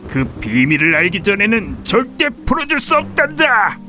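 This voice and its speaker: A man's voice: sits high, big pitch swings, moderate pace, pushed and bright, soft background music, heavily acted. A man voicing an arrogant, greedy thunder god in a children's cartoon, taunting and demanding. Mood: indignant.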